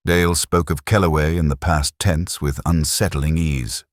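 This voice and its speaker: A man narrating a scripted first-person detective story in a measured, literary, brooding manner. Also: very deep voice, steady tone, no room sound, studio quality.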